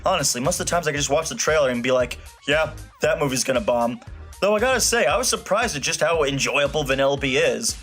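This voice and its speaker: A man's voice: fairly high pitch, a bit bright. A man delivering a scripted comedic film review in a snarky, blunt and emphatic way. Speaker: video reviewer.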